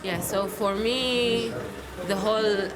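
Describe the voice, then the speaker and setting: A woman from Israel, talking thoughtfully in a documentary interview, often pausing to search for words. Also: medium pitch, fairly even intonation, a crowd in the background, rough recording. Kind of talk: reflective personal testimony.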